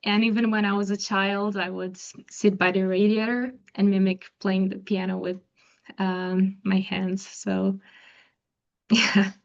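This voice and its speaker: A young woman from Croatia, a native Croatian speaker, answering interview questions softly and carefully, with frequent ums and restarts. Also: medium pitch, little pitch movement, a little slow, gravelly, lo-fi sound. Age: young adult. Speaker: interview guest, pianist.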